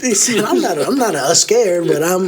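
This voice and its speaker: Young man, lively agreeing chat. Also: high voice, over a noisy crowd. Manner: quick agreeing replies, "yeah, facts", "dog". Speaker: podcast co-host, the nephew. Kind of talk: casual chat.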